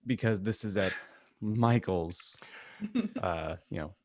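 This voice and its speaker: A man's voice: low-pitched, slow. A man hosting a podcast in a casual, irreverent, joking style of group banter. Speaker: podcast host.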